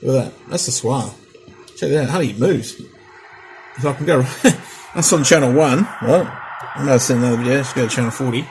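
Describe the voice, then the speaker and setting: A man's voice: mid-range voice, a little fast, long pauses, music in the background, low-quality recording. A middle-aged man casually demonstrating and testing a radio, rambling and repeating himself as he goes. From Australia. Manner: rambling, chatty, repeats test counts. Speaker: radio hobbyist vlogger. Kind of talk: casual hands-on equipment demo. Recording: home recording with noticeable background noise.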